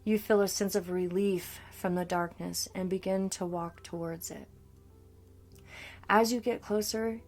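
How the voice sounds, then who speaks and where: A woman coach narrating a scripted guided meditation in a soothing, deliberate manner. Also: on the low side, darkish tone. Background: background music.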